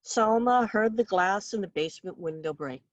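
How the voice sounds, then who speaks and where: A woman's voice: mid-range voice, normal speed, no echo, highs cut off. Female author for a story reading: wry, theatrical storyteller, mid-range voice, very little room sound. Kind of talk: expressive literary story reading.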